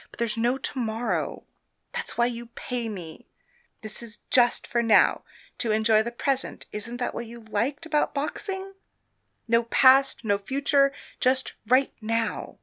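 A woman voicing a commanding, guarded woman from a brothel, brusque and forceful. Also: a little high, measured pace. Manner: brusque and forceful, raising her voice in anger.

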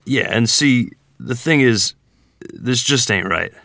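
A man acting an earnest, awkward rural Missouri ferryman groom from the 1920s at a screenplay table read, plain-spoken and halting. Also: medium pitch, lively intonation, vocal fry, bright, forceful tone, no room sound, clean studio sound, heavily acted. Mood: uneasy, earnest.

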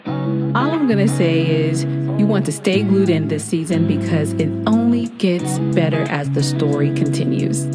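A woman's voice: deep voice, lively intonation, a little slow. A woman host narrating a scripted drama trailer in a conversational style. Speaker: podcast host and drama lead. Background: loud guitar music.

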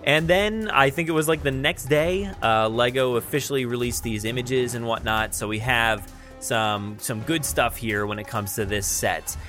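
A man hosts a podcast in a casual, unscripted style. He talks haltingly, thinking aloud as he goes, at a middle pitch with faint music in the recording. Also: bright, forceful tone. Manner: halting, thinking aloud while describing what he sees. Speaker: podcast host. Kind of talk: casual unscripted news chat.

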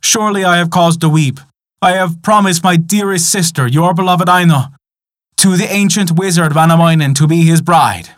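A man voicing a desperate young man who is stuck in a swamp, his pleas pitched higher and louder than his narration. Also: moderate pace, no echo, studio quality, lightly acted. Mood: grieving.

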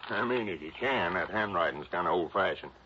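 Folksy, stubborn American police chief, lowish voice. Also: male voice, expressive, sharp tone. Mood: insistent. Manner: folksy, halting, insistent. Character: stubborn, folksy small-town police chief, sure of himself. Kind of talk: old-time radio drama acting. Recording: muffled, noisy vintage radio broadcast.

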